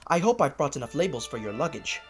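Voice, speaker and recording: A man plays a fussy, formal clerk in a radio play, eager to please. His pitch jumps about in an animated way, and music plays clearly behind him. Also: fast, close to an everyday voice. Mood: eager, polite. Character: fussy, polite male English lawyer's clerk on business. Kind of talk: radio drama acting.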